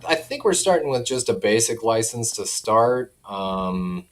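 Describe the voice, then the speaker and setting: A man speaking as a company representative in a casual product chat, informal and hedging, quick to chuckle. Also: lowish voice, big pitch swings.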